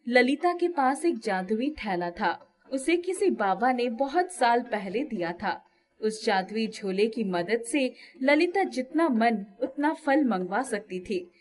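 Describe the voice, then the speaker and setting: Slow, high-voiced woman storyteller. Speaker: storyteller, narrator. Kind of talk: scripted children's story narration.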